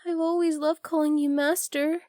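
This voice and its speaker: High, soft kitsune woman, voiced by an actress. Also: flat delivery, moderate pace, no room sound, studio quality, close to an everyday voice. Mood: yearning, adoring. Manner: soft, tender, intimate, addressing the listener directly. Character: devoted, clingy yandere kitsune fox-spirit woman, ageless familiar. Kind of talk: ASMR roleplay.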